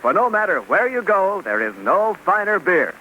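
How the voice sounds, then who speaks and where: A man announcing on 1940s radio in a salesman-like pitch. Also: sing-song, moderate pace, phone-quality sound. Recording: old radio broadcast with hiss.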